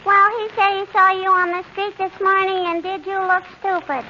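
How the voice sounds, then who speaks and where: Very high-pitched cheeky little girl. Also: flat delivery, normal speed. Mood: innocently cheeky. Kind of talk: 1940s radio comedy acting. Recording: dull, very noisy 1941 radio recording.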